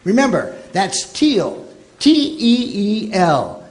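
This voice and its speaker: A male announcer reads in the style of vintage radio. His voice is high for a man and very lively, rising and falling widely through scripted copy. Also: takes it slowly. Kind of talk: old-time radio commercial and scripted narration.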